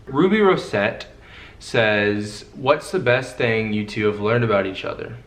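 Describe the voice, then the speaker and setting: A man's voice: on the low side, animated, unhurried, a bit bright. A young man co-hosting a casual Q&A, laid-back and easygoing, often saying "you know.". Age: young adult. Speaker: co-host. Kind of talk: casual couple Q&A chat. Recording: echoey room.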